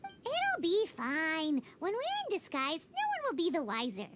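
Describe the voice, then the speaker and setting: A woman voicing a tiny floating fairy-like girl companion, chirpy and indignant, with a high squeaky childlike voice, over game music. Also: huge pitch swings, a little slow, very noisy, full character voice. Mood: excited. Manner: chirpy, indignant, talks of herself in third person. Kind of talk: video game voice acting. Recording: game soundtrack under the voice.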